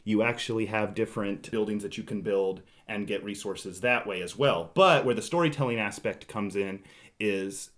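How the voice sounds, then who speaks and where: A man with a fairly low voice hosts a podcast in a loose, chatty style. He banters and teases his way through the discussion, keeping it informal. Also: moderate pace, a bit bright. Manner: teasing, bantering. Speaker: podcast host. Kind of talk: casual board game discussion.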